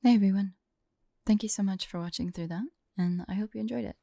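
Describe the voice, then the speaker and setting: A woman's voice: mid-range voice, fast talker, vocal fry, dark tone, dry recording. A woman, an ASMR creator, casually thanking her viewers and supporters in an outro.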